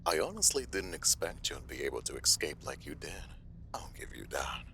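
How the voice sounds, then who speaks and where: Man as sadistic mob villain, extremely deep, gravelly fry, mocking drawl, noisy recording. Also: very animated, moderate pace, pushed and bright, exaggerated voice. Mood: smug, menacing. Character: sadistic, taunting mobster villain, smug and theatrical. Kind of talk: audio drama villain acting. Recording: noticeable hiss and background noise.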